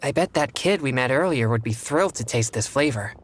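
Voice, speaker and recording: A man voicing a reserved teenage boy exorcist in a video game, earnest, measured and formal in manner. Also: mid-range voice, animated, natural voice. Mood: thoughtful, appreciative.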